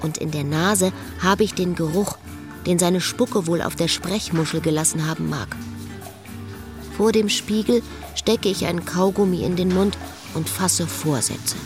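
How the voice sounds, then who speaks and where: A woman's voice: on the low side, measured pace, over music, lightly acted. An actress playing a bitter, watchful woman who narrates and talks to a former lover in a sardonic, observant way in a literary radio play. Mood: detached, observant.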